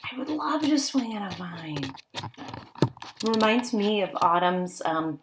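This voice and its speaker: A woman's voice: sits low, heavy background noise. A woman reading a picture book aloud to young children as a teacher, stopping to ask simple questions and make exclaiming asides.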